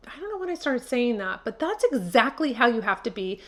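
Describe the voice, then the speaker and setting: A woman's voice: on the high side, sing-song, brisk, a bit bright. A woman hosting a beauty channel, speaking to camera and giving tips in an emphatic, encouraging way with repeated key words.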